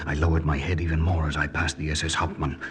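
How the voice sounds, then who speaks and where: A man's voice: a bass voice, level tone, fast talker, fairly bright tone, faint music behind. An actor playing an earnest American secret agent who narrates his mission dramatically in a 1940s radio drama. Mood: tense, hushed.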